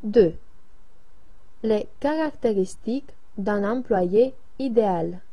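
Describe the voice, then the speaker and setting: Woman reading out a language lesson real slow, soft mellow tone. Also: medium pitch. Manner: slow, even, careful reading. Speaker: French lesson reader. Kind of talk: slow read-aloud of dialogues and exercises.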